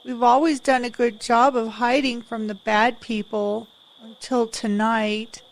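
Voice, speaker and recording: Woman voicing a slow-spoken little girl, high voice. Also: flat delivery, poor audio. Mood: sad, matter-of-fact. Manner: simple, childlike, slow delivery. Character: eight-year-old orphaned girl, small, plain-spoken, wary. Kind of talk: audio drama acting.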